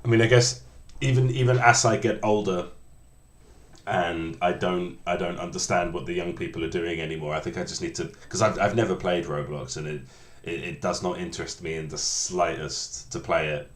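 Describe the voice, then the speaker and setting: A man in his late thirties with a low voice talks through his coding session on a livestream, trailing off and restarting his sentences. His casual, self-deprecating chat rings out in a roomy, echoey space. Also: steady tone. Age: 30s. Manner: rambling, halting, many restarts, self-deprecating. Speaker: game developer streaming.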